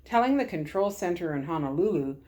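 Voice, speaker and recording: A woman reading a story aloud in an expressive narrating style, as a storyteller. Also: lowish voice, fairly quick.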